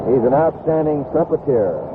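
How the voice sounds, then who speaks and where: An American man does live baseball play-by-play in a fairly high voice, reciting counts and figures in clipped phrases. The old radio broadcast is thin and tinny, with heavy noise all through it. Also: animated, moderate pace. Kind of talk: live radio sports commentary. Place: outdoor ballpark broadcast position.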